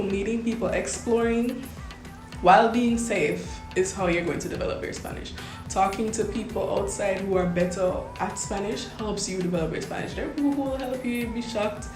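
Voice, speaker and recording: A woman's voice: mid-range voice, reverberant. A Jamaican woman, a medical student, giving earnest, reassuring advice to first-year students and repeating points for emphasis.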